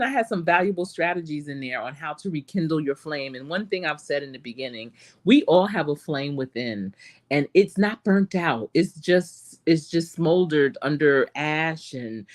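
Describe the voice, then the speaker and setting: A middle-aged woman with a Charlotte accent, a life coach chatting casually to live stream viewers and telling stories with lots of laughter. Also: low-pitched. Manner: animated storytelling with frequent laughs.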